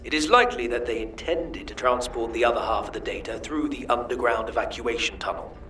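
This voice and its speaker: An actor playing an intense, scheming alien scholar in a video game, speaking in a formal, deliberate, theatrical way. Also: male voice, mid-range voice, a bit bright, natural voice. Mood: calculating.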